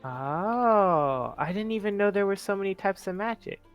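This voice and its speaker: A woman's voice: medium pitch, lively intonation, moderate pace, clean tone, muffled recording, soft background music. A woman playing a tabletop game, speaking casually in character in a chatty, inquisitive way.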